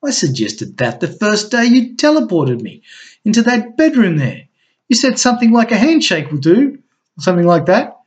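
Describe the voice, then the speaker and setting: A man's voice: on the high side, expressive, normal speed, pitched above the performer's own voice, close to an everyday voice. A man voicing a sweet but sarcastic woman who teases her partner. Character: woman, a man's loving, sharp-tongued partner. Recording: dull lo-fi recording.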